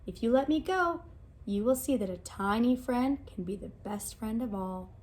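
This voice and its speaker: A woman's voice: on the high side, animated, normal speed, a little mellow, lightly acted. A woman voicing a tiny, brave female mouse who speaks politely and eagerly.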